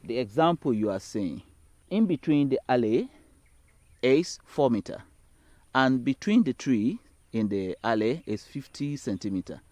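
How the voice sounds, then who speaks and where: A man's voice: mid-range voice, animated, measured pace, darkish tone, no echo. A man with a Sierra Leonean accent explaining practical farming steps as a trainer in an instructional film. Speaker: agricultural trainer.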